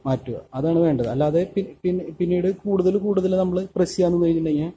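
A man with a Malayali accent giving a hands-on welding tutorial, halting, with many filler sounds and restarts, recorded on a camera mic at a workshop table. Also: high-pitched, steady tone, mellow, a little hiss or hum. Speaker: welding instructor.

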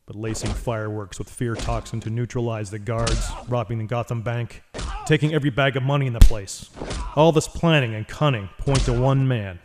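A stern noir vigilante, acted by a man, with a mid-pitched voice. He speaks in terse, brooding deadpan, with clear noise behind him. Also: moderate pace, natural voice. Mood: grim. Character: grim, stern adult male vigilante detective. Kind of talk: noir radio drama narration and dialogue.

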